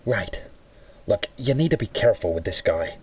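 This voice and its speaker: A man voicing a wary underworld fixer with a cautioning, halting manner in an amateur motion comic. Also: fairly low pitch, animated, slight background noise, lightly acted. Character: wary underworld fixer warning his client.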